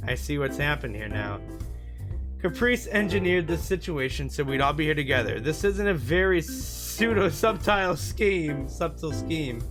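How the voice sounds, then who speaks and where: A man with a fairly high voice hosts a gaming video. He reads visual novel text aloud in a narrating style, sometimes stumbling and rereading words. Music plays clearly behind him. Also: expressive, a little slow. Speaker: host of a gaming channel.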